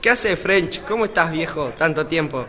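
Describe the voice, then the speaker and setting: A man's voice: a little high, expressive, moderate pace, lightly acted. A young man playing a puzzled, informal revolutionary veteran in an amateur student radio play. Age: teenager or young adult. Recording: busy crowd noise behind voice.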